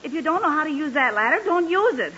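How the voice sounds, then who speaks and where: A woman's voice: high-pitched, big pitch swings, quick pace, pushed and bright, heavily acted. An actress playing a fretful, scolding middle-aged wife and mother in 1940s radio comedy, nagging and practical. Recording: faintly noisy, muffled old radio recording.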